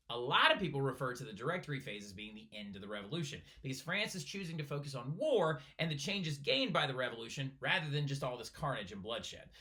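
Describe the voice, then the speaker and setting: A man teaching a recorded history lesson to his class, often checking in with "right?". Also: medium pitch. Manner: fast, animated, keeps asking "right?". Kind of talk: recorded lecture for a flipped class.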